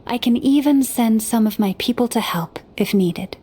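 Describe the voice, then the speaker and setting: A woman voicing a serene noblewoman leader in an English game dub, speaking in a formal, measured, lofty way. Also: a little high, warm tone, close to an everyday voice. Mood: solemn. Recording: faint background noise.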